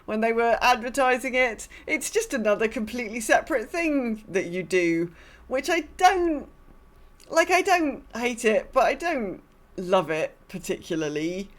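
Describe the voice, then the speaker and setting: A woman's voice: fairly high pitch, lively intonation, unhurried. A woman co-hosting a podcast, casually recapping her gaming in an understated, conversational way. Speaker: podcast co-host. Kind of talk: casual chat about an online game.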